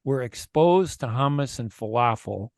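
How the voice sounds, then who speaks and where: A chatty male radio host talks into a close studio mic that leaves his voice dry and free of room sound. His pitch sits in a middle range for a man, and he thinks aloud with frequent fillers. He leans into his opinions as he talks. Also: lively intonation, measured pace, dry recording. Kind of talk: casual radio conversation.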